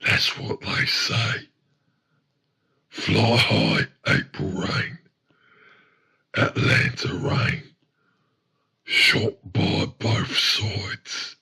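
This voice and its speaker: A man voicing a brooding, menacing serial killer in a dark spoken-word monologue, breathy and half-whispered, in slow fragments. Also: on the low side, little pitch movement, full character voice. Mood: menacing, cold.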